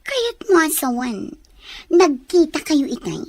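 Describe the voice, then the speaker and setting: A woman's voice: high-pitched, very animated, unhurried, darkish tone, dry recording, full character voice. A woman with an Ilocano Filipino accent acting a jealous, snobbish young office woman in an audio drama. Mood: prying.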